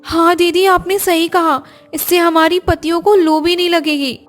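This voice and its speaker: A woman voicing a cheerful, playful young daughter-in-law in a family cartoon, chatty and lively. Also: very high voice, normal speed, soft background music.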